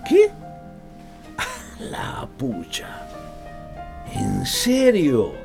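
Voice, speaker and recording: Casting: middle-aged Costa Rican dad, radio drama, crafty and jovially scornful, very animated pitch, unhurried. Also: male voice, vocal fry, heavily acted. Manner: chuckling, needling, folksy. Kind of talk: radio drama acting, one side of a phone call.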